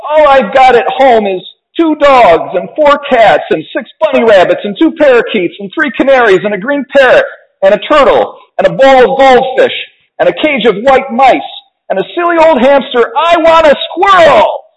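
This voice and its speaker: A man voicing a spoiled, demanding little girl from a storybook, whining and insisting loudly. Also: very high voice, animated, moderate pace, muffled recording, voice pushed up. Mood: petulant, insistent. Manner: shouting, insistent, whiny demands.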